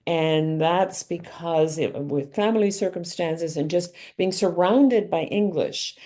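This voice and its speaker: A middle-aged woman being interviewed about her life, answering in a thoughtful, measured way with frequent ums and restarts. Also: on the low side, warm tone. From Canada. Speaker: author and guest.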